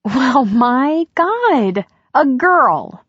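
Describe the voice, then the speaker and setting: A woman reading an audiobook as an upbeat young mother who talks with teasing, affectionate exasperation and lively emphasis. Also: a little high, moderate pace, no room sound, lo-fi sound, pitched above the performer's own voice. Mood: amused, delighted. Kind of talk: audiobook character dialogue.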